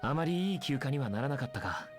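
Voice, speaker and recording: Actor as a very quick, lively young soldier. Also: male voice, mid-range voice, faint music behind, natural voice. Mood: calm, considerate. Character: easygoing, curious, considerate young male soldier. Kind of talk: Japanese video game voice acting.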